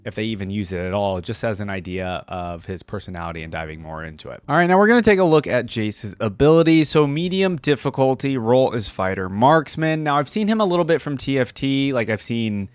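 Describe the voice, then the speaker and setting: A man's voice: mid-range voice, animated, brisk, few pauses, clear, resonant tone, no room sound, clean studio sound. A man giving casual gaming reaction commentary as a video host, thinking aloud in a conversational way.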